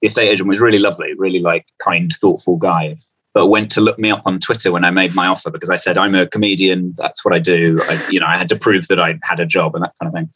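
A man's voice: sits low, fairly even intonation, fast, fairly bright tone, slightly rough, dry recording, dull audio. A man chatting casually as a comedian guest, rambling through anecdotes in a self-deprecating way.

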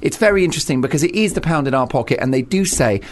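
A man's voice: on the high side, animated, very fast, noisy background. A man hosting a radio talk show, teasing his co-host and building up grand, theatrical introductions. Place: radio studio.

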